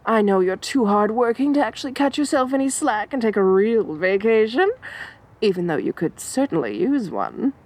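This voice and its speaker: A woman's voice: on the high side, animated, moderate pace, a little mellow. A woman voicing an obsessive, theatrical female supervillain in an audio roleplay, dramatic and giggly, swinging between coy and menacing. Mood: scheming. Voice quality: dramatic, giggly, sing-song.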